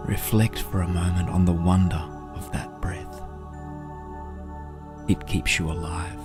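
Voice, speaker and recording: A man guiding a meditation in a soft, breathy, soothing voice, gently and unhurriedly, with long pauses. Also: low voice, little pitch movement, over music. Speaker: meditation guide. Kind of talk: guided meditation narration.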